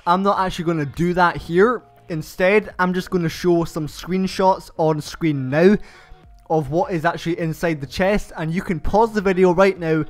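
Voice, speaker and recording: Young male tutorial host, pitched high and congested, walks through steps slowly over soft background music. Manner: slow, methodical step-by-step instructions. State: stuffed-up nasal voice from hay fever. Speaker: channel host. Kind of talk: game build tutorial voice-over.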